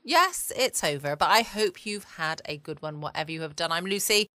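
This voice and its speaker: A woman's voice: fairly low pitch, lively intonation, moderate pace, pushed and bright, no room sound. A woman with a southern English accent hosting a casual bedtime podcast in a chatty, rambling, playful way. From England. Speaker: podcast host. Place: small home studio.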